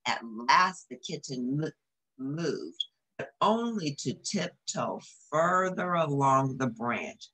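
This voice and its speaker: Low-voiced, unhurried woman reading a storybook. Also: big pitch swings, pushed and bright, low-quality recording. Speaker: storybook reader. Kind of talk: read-aloud children's story with asides. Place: small indoor room.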